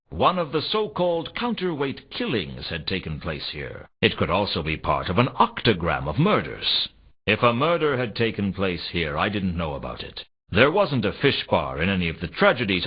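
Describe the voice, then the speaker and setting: A dull, lo-fi game recording of a hard-boiled detective. His husky voice narrates in laconic film noir fashion, dry and world-weary, with very wide swings in pitch. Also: full character voice. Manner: deadpan, laconic, wry. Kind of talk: scripted first-person video game narration in film noir manner.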